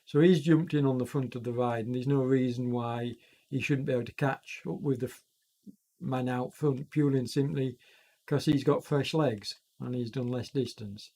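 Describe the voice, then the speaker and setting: A man's voice: mid-range voice, fairly even intonation, normal speed, warm tone. Congested man commentating a virtual bike race. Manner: rambling, self-correcting, many fillers. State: congested with a chest cold. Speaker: e-racing commentator and streamer.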